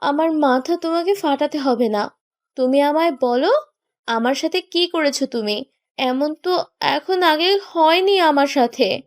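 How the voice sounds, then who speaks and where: Romantic audio story lead: actress as pouty young girlfriend, high-pitched, playfully indignant, dry sound. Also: measured pace, no echo. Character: young woman in love, pouty, scolding, teasing.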